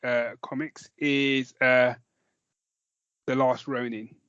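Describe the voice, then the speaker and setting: A British man talks casually as a podcast co-host at a middling pitch. He rambles and restarts his sentences often. The recording is dry and a little dull. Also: little pitch movement, takes it slowly, a bit bright, clean tone, dry recording. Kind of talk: casual chat about films and comics.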